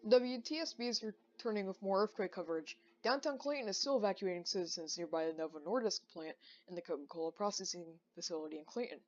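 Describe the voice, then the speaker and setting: Woman playing female local news reporter, mid pitch, informal halting live disaster report, dull lo-fi sound. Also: a little mellow, a little hiss or hum, natural voice. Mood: urgent reporting.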